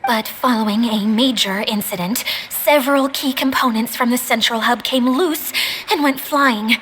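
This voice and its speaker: A woman's voice: fairly high pitch, normal speed, a bit bright, a little hiss or hum, close to an everyday voice, poor audio. An actress voicing a shy, eager female caretaker mascot in a video game, who talks in a nervous, self-conscious, apologetic way. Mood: explaining. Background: game music.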